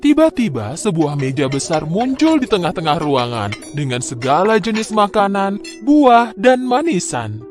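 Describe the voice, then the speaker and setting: A man narrating a scripted children's fairy tale in an expressive, dramatic way. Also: high voice, measured pace. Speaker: storyteller. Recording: music behind the voice.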